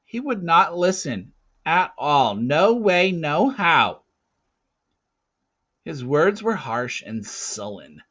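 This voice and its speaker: A man reading a storybook aloud as a dramatic narrator, with deliberate, theatrical phrasing and a casual touch. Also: on the high side, big pitch swings, fairly bright tone, dull audio. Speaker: storybook reader.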